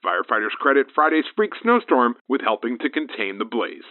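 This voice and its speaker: An actor playing a brisk, folksy small-town radio newsman reading a local news and weather bulletin. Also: mid-range voice, big pitch swings, no echo, narrow, tinny audio, natural voice.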